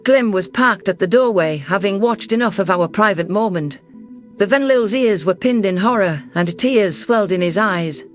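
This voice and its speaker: A man voicing a caring, composed female alien leader who narrates a sci-fi story in a gentle, reflective way. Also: sits high, moderate pace, soft background music, lightly acted. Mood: concerned. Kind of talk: first-person sci-fi story narration and dialogue.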